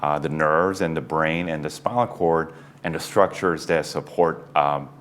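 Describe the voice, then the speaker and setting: A man in his forties, a neurosurgeon guest on an interview show, explaining things in a measured way with light chuckles. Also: extremely low pitch, a bit bright, slight background noise. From United States East Coast. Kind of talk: casual interview talk.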